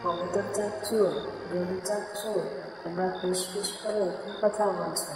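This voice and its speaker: A woman's voice: lowish voice, steady tone, measured pace, natural voice. A woman voicing a sad little orphaned girl bird in a children's cartoon, plaintive and pleading. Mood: worried, pleading. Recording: very echoey long reverb, music behind.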